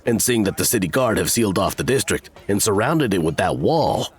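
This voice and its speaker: A man's voice: lowish voice, animated, brisk, husky. A man playing a self-assured, dramatic criminal fixer briefing thieves in a fantasy audio drama. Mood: conspiratorial.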